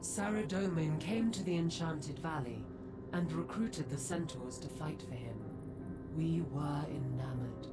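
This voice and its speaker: A woman playing an ancient, stern and remorseful centaur guardian in a fantasy game, speaking gravely and formally. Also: low-pitched, over loud music. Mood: solemn, sorrowful. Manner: grave, slow, formal delivery. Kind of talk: dramatic fantasy game voice acting.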